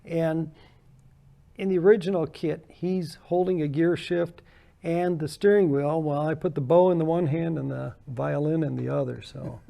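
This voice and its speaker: A man's voice: fairly high pitch, fairly even intonation, moderate pace, vocal fry, dark tone. An older American man, a guest in an interview, chatting casually and telling anecdotes about his hobby with frequent laughs. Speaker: guest model builder.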